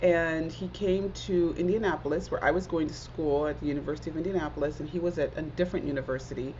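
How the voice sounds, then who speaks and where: A woman in her forties, originally from Zimbabwe, talks as an interview guest with a fairly low voice. She sounds earnest and reflective, leaning on "like" as she thinks. The audio is slightly dull and lo-fi. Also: steady tone, fast talker, a little mellow, a little hiss or hum. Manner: earnest, reflective, many "like" fillers. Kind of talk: casual conversational interview.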